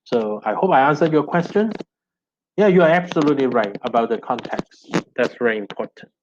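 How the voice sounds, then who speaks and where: A man's voice: medium pitch, animated, brisk, slight background noise. A middle-aged man with a Hong Kong Cantonese accent, a native Cantonese speaker, explains as a professor answering questions, often repeating words as he goes. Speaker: linguistics professor, guest lecturer. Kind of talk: answering questions after an academic talk. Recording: dull, band-limited online call audio.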